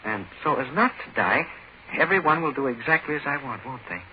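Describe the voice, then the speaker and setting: Menacing everyman played by a male actor, halting and insistent, drunk on deadly power, swooping lines, muffled vintage radio drama sound. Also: mid-range voice, animated, close to an everyday voice. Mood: gloating, commanding. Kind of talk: 1940s radio horror acting. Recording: muffled, noisy old 1940s radio recording.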